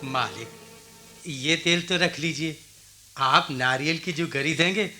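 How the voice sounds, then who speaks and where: A man's voice: a little high, takes it slowly, music in the background. A man playing a humble, deferential, poor village oil-seller in a radio play. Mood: humble, obliging. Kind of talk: Hindi radio play acting.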